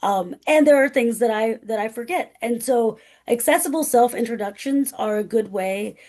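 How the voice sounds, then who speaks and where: A woman speaking as a guest presenter, a professor with a loud, carrying voice who talks emphatically, often checking in with "right?". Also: fairly high pitch, moderate pace. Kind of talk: conversational presentation.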